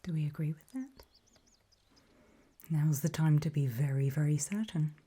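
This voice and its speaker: A woman voicing a calm, ominous gatekeeper in a hushed, breathy ASMR roleplay, speaking mostly in deliberate whispers with pauses. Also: low-pitched. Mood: quietly instructive. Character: calm, ominous female gatekeeper briefing travelers. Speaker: ASMR performer.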